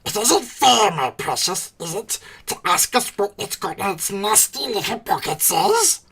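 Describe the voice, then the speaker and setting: A man voicing a wretched, wicked cave creature with a raspy, hissing voice, whining and muttering to himself in a storybook reading. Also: high-pitched, big pitch swings, unhurried, pushed and bright, pitched above the performer's own voice, exaggerated voice. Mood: upset, shrill. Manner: whiny muttering to himself, erratic and drawn out. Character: miserable, wicked, slimy cave creature, wheedling and resentful.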